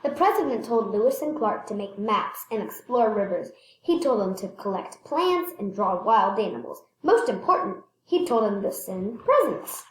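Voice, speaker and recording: A woman reading a children's picture book aloud expressively, stressing words and pausing to point things out. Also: medium pitch, animated, echoey room, low-quality recording. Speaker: read-aloud storyteller.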